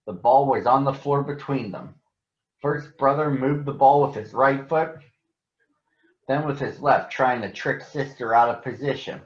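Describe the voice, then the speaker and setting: An American man addressing children and reading a picture book aloud in a deliberate, announcer-like way. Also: mid-range voice. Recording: echoey room, slightly dull sound.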